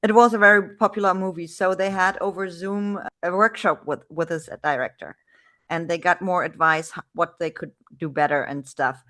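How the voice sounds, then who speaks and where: A woman from Germany with a German accent, hosting a relaxed video-call interview in English and talking in a chatty way with many restarts. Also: lowish voice, normal speed. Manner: chatty, frequent restarts and fillers. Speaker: podcast host.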